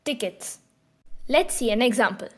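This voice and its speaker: Calm woman teaching a language lesson. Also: fairly high pitch, moderate pace. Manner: calm, clear teaching prompts. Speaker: language teacher and channel host. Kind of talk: scripted beginner lesson narration.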